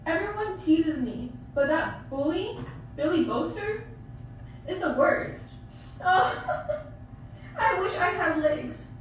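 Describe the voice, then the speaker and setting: A woman's voice: extremely high pitch, animated, takes it slowly, pauses often, exaggerated voice. A woman voicing a whiny, tearful young mermaid schoolgirl in an amateur puppet show. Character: self-pitying, weepy young mermaid schoolgirl. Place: theater, camera far from puppet stage. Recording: distant echoey theater recording, faint noise.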